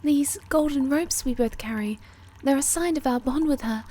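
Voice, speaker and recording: High-voiced, timid little moth girl played by a woman. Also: normal speed, mellow, close to an everyday voice. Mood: nervous warning. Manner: hesitant, earnest, pleading, stammering. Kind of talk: ASMR roleplay acting.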